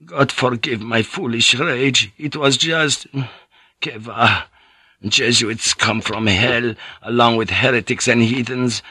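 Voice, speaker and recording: Man putting on an old friar, agitated, breathless, bright edgy voice, dry. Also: medium pitch, slow, slightly rough, dry recording, exaggerated voice. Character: ranting feverish old Spanish friar, bitter.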